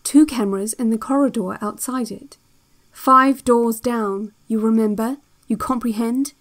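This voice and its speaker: A woman playing a calm, controlling cassette instructor in an audio drama, giving slow, measured, clinical guided meditation. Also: on the high side. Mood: hypnotic, quietly insistent. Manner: measured, deliberate, hypnotic, clinical. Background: new-age music.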